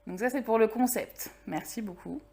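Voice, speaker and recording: A woman hosting a casual interview, phrasing questions informally and haltingly and chiming in with encouragement. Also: on the high side, creaky, mellow, slightly rough. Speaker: interviewer, podcast host.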